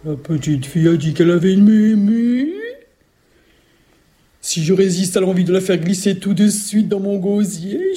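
A greedy storybook ogress, acted out by a woman storyteller, sly and menacing. The voice is big and gruff, drawling slowly and swinging widely in pitch as it schemes. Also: dark tone, lightly acted. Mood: greedy, scheming. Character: greedy, sly female ogress who devours grandmothers.

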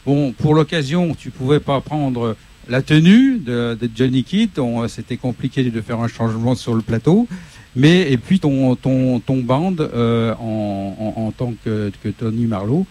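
A man's voice: mid-range voice, measured pace, dark tone. A man hosting a studio interview who keeps hesitating and restarting his sentences. He sounds like a live local show presenter talking to a guest musician on set. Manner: hesitant, many fillers and restarts.

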